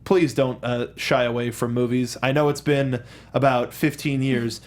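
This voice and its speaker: A man's voice: mid-range voice, flat delivery, normal speed. An American man hosting a casual film discussion in a studio, often repeating words and restarting as he goes. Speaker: host of a film review show. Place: studio with a control booth.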